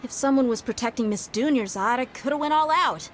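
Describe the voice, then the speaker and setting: An actress playing a tough, loyal young bodyguard in a video game, with a blunt, casual way of talking. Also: female voice, high-pitched, a little fast, slight background noise, lightly acted. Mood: startled, questioning.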